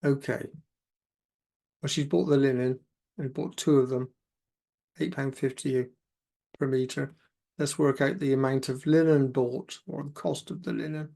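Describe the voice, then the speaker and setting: Croaky man explaining maths. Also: mid-range voice, a little slow, pauses often. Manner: steady step-by-step, talks himself through sums. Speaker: maths tutor. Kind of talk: exam walkthrough, working problems aloud.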